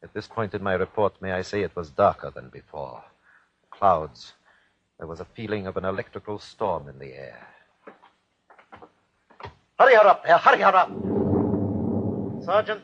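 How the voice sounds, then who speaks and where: A ruthless German army captain in an old radio play. He declaims and commands in clipped, fanatical style. The recording is dull and low in fidelity, as vintage broadcasts are. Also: sharp tone, heavily acted. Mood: harsh, declamatory. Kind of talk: old-time radio drama acting.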